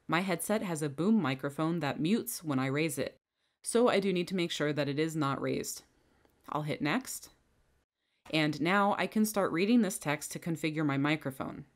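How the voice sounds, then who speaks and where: A woman with a low-pitched voice guides the listener through a software setup one click at a time. Her delivery is clear and instructional, recorded close on a desk microphone. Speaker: software trainer. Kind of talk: screen-recorded software tutorial. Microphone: headset or bench microphone.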